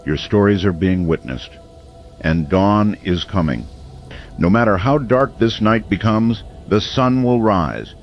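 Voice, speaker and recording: An actor playing a grave, steadfast male late-night radio host, broadcasting in a solemn, measured, portentous manner in an audio drama. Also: fairly low pitch, expressive, muffled recording, soft background music. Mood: resolute.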